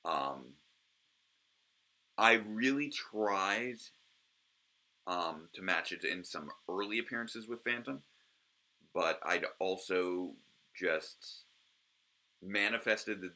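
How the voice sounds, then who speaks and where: Low gravelly man, halting commentary. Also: sharp tone. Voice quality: naturally low voice. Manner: hesitant, full of ums and restarts. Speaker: host of a review series. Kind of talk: casual solo commentary.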